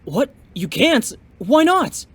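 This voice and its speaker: A man playing an eager, polite young martial arts student in an audio drama, earnest and expressive, in an echoey recording. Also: extremely high pitch, slow pace, full character voice. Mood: curious, surprised. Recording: echoey, reverberant, faint background noise.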